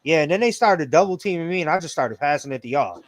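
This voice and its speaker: A man's voice: on the high side, animated, quick pace. A man in his late thirties co-hosting a casual sports chat, laid-back and profane, full of slang. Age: 30s. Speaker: podcast co-host.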